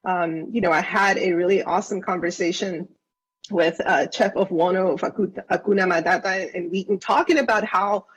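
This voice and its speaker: Husky woman councilmember, originally from venezuela, gushing, earnest speech at a council meeting. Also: fairly low pitch, steady tone, normal speed, poor audio. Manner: earnest, effusive, repeats words when she restarts. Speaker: county councilmember. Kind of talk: formal council remarks.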